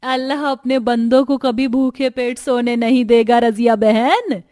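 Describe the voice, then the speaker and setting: A kindly middle-aged matriarch played by a woman from a cartoon family tale, fairly high-pitched. She is gentle and assured, with faint music behind her. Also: fairly even intonation, measured pace, lightly acted. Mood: generous, assured. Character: kind, wealthy middle-aged mother-in-law.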